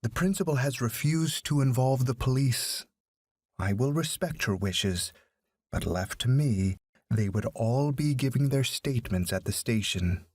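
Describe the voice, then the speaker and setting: A man's voice: medium pitch, animated, a little slow, darkish tone, no echo. Man reading a stern male sports teacher. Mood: stern explaining. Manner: formal, matter-of-fact.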